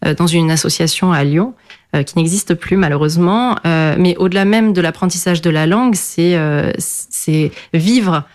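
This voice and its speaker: A woman's voice: fairly low pitch, normal speed, darkish tone. A middle-aged woman from France whose first language is Portuguese, answering interview questions in a hesitant way with many fillers and restarts. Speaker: guest.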